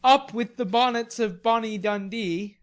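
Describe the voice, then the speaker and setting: Woman as a playful, eager young gentleman. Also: medium pitch, normal speed, close to an everyday voice. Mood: teasing, audacious.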